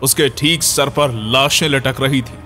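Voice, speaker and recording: A man's voice: mid-range voice, fairly even intonation, measured pace, pushed and bright. A man narrating a scripted horror audio story in a dramatic storyteller's manner. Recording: music under the voice. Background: background music.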